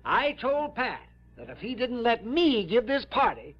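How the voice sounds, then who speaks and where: An actor playing an anxious older gentleman who stammers and repeats himself, in vintage American radio drama style. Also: extremely high pitch, big pitch swings, a little slow, heavily acted. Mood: pleased, dithering. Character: fussy, flustered, anxious older gentleman host. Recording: dull, band-limited old radio broadcast.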